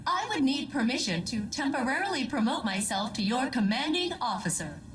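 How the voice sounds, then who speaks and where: A woman's voice: a little high, very animated, a little fast, distant mic, a little hiss or hum. A woman playing an eager, perky spaceship AI with wounded undertones in a video game, chirpy and theatrical, swinging between formal and chummy. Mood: eager, bossy. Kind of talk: scripted video game dialogue. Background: clear music.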